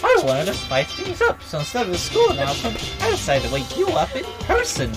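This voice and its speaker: High, gleeful robot bear villain. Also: male voice, huge pitch swings, brisk, vocal fry, exaggerated voice. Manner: teasing, theatrical. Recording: loud music behind.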